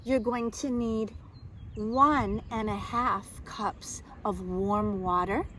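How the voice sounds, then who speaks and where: Slow-talking woman reading to young children. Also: mid-range voice, slight background noise. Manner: deliberate, expressive, speaks simply as to young children. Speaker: kindergarten teacher reading to children. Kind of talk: children's storybook read-aloud and simple instruction.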